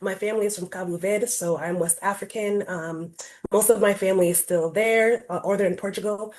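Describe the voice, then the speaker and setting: A woman's voice: mid-range voice, fairly even intonation, brisk, a little mellow. Woman presenter, chatty and informal, lots of fillers, heard over a video call. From Rhode Island, US. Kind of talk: casual online presentation.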